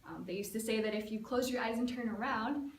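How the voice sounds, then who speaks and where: A woman's voice: a little high, steady tone, a little fast, slight background noise. A young American woman tells a personal story as a prepared speech, in a casual, chatty way full of "like" and "um". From United States. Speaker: student giving a speech. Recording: echoey room, mic at a distance, faint ambience.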